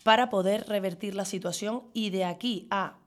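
A woman's voice: medium pitch, moderate pace. A woman answering in an interview as a councillor, emphatically listing her points phrase by phrase. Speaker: town councillor.

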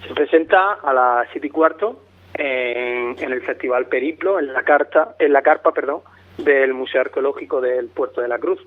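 A man with a mixed southern Spanish accent, between Murcian and Andalusian, talking as a guest in a radio interview in a reflective, halting way over a phone. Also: medium pitch. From Lorca, Murcia, southeastern Spain. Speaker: travel writer, interview guest. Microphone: telephone. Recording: tinny narrow phone line.

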